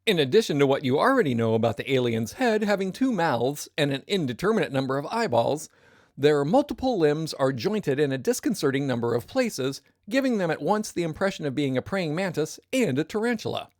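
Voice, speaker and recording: A weary, pompous narrator, played by an actor in a sci-fi comedy. The delivery is deadpan and wordy but lively in pitch, in a close, dry recording. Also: a little fast, no room sound, natural voice. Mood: dry disdain. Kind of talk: scripted comic narration.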